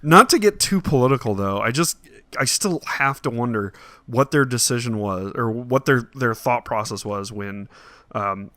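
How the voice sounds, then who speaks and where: A man co-hosting a casual podcast about films and games, giving measured opinions somewhat hesitantly. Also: mid-range voice, lively intonation, pushed and bright, no room sound. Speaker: podcast co-host.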